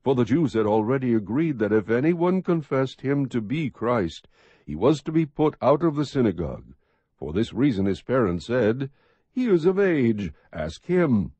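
A man narrating scripture as an audiobook, reading in a measured, expressive, husky voice. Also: mid-range voice, dry recording, highs cut off.

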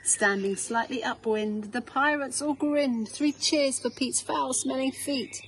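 A middle-aged English woman reads a picture book to children at an unhurried pace. Her voice sits at a medium pitch and she phrases each line with care. It is recorded outdoors, with faint noise behind her. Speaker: head teacher reading a picture book. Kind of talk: children's storybook reading aloud. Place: outdoors in a small garden.

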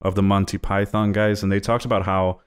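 A man's voice: sits low, flat delivery, fairly quick, clean tone. A young Danish man co-hosting a podcast, chatty and jokey, with rambling asides. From Denmark. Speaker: podcast co-host. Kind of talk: casual chat.

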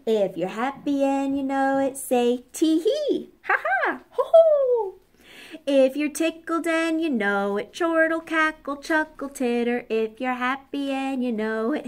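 A woman's voice: sits high, lively intonation, takes it slowly, pitched above the performer's own voice. A woman voicing a giggly, tickled hyena in a sing-song children's storybook style.

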